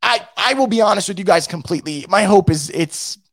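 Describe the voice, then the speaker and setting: A hoarse man who is losing his voice hosts a casual fan show and reads out the live chat, blunt and profane, with many restarts. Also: sits high, animated, a little fast, a bit bright, no room sound. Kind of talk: casual post-game rant.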